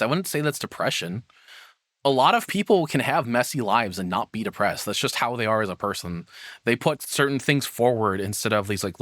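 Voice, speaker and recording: A man's voice: lowish voice, fairly bright tone, no echo, clean studio sound. A man giving unscripted reaction commentary as a streamer, rambling and questioning himself in casual language.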